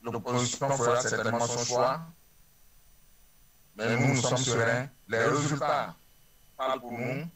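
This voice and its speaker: A man's voice: medium pitch, fairly even intonation, measured pace, pauses often, over a noisy crowd, poor audio. A man from Benin with a Beninese French accent, speaking as a politician in an interview, earnest and rhetorical, repeating phrases for weight. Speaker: ruling-party politician interviewed by phone. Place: telephone call.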